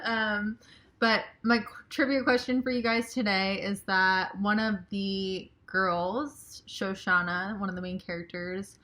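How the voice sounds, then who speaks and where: Female author, chatty informal greeting, bright forward tone. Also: medium pitch. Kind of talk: recorded message to a library audience.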